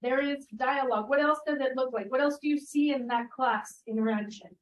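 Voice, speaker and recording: High-voiced woman leading a class workshop. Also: a little fast. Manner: engaging, asks the group questions and repeats their answers. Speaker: workshop presenter. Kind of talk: interactive teaching workshop.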